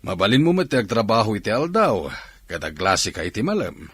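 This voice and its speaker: Middle-aged boss, lowish husky voice, Ilocano accent, animated intonation, confident, persuasive, radio drama. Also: male voice, brisk, no room sound, heavily acted. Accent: Filipino, Ilocano. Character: confident city factory boss, brisk and obliging.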